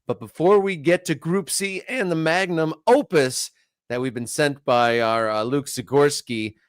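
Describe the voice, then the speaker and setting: A man's voice: on the high side, a little slow, clear, resonant tone, dry recording, clean studio sound. Teasing man hosting soccer talk. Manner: joking, teasing. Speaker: show host. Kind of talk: casual sports talk.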